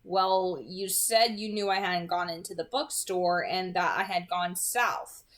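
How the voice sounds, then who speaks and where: A woman's voice: fairly low pitch, measured pace, lightly acted. A woman voicing an anxious, inquisitive teenage boy in a novel read-aloud, with a questioning, easily flustered manner. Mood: curious, insistent.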